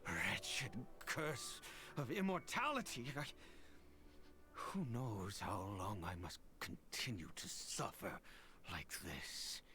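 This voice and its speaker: An actor playing a wary, bitter man cursed with immortality in a video game, theatrical and halting, sinking into breathy whispers. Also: lowish voice, very animated, bright, forceful tone, full character voice. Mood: bitter anguish. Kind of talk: dramatic video game voice acting.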